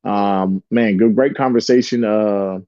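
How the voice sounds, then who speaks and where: A man's voice: on the low side, steady tone, unhurried, mellow, no room sound. Laid-back man on dull lo-fi audio. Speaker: co-host guest. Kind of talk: casual chat.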